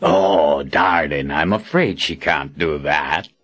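A man's voice: extremely low pitch, takes it slowly, a bit bright, slightly rough, dull audio, pitched below the performer's own voice, full character voice. A man voicing a menacing tyrant who speaks through a possessed woman, mocking and silky in an audiobook. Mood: mocking menace. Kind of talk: audiobook character acting.

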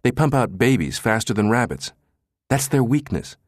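Audiobook role: male narrator as bellowing computer chief, crude and sardonic, raised pitch, very dry studio sound. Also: lively intonation, moderate pace, dry recording, lightly acted. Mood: grimly sardonic. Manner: brash, sardonic, spitting out words. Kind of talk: audiobook character dialogue.

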